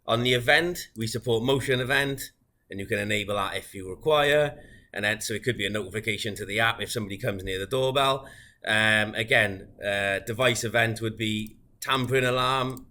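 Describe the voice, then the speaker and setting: Bright, forceful man demonstrating tech. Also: lowish voice, fairly quick. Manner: methodical, explanatory, informal. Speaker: product demonstrator. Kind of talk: unscripted software walkthrough tutorial.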